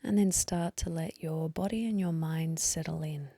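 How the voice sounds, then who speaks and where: A woman leading a guided meditation in a soft, breathy voice, gently and unhurriedly, with long pauses. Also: sits low. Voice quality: soft, breathy, partly whispery. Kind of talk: guided meditation, slow calm instruction.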